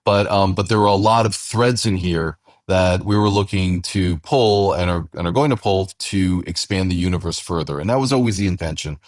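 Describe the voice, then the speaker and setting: A man's voice: deep voice, moderate pace, hardly any pauses, dry recording, studio quality. A man talking casually as a podcast host, discussing his show in unscripted conversation.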